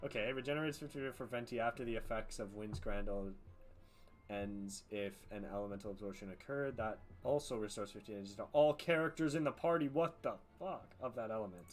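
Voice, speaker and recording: A man with a fairly low voice gives casual commentary on a game as he streams it. He reasons aloud in a loose, profane way, with music clearly audible behind him. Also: a little fast. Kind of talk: casual live gameplay commentary.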